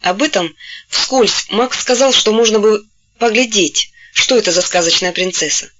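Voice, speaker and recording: A woman narrating a novel in a steady storytelling voice, gently taking on the characters' voices when they speak. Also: medium pitch, moderate pace, lo-fi sound, a little hiss or hum. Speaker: audiobook narrator. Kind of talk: scripted literary narration.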